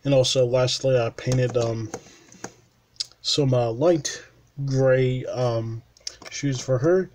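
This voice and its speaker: A man casually showing his custom toy figures, halting with fillers and restarts, sniffling with a dry mouth, recorded on a camera mic at his desk. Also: medium pitch, steady tone, a little mellow, a little hiss or hum. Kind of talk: casual showcase narration.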